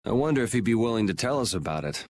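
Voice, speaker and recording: A man's voice: on the low side, sing-song, racing. An actor playing a casual, cool-headed young swordsman in a game, with a wry, laid-back manner. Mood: curious, wry. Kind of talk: English game dub acting.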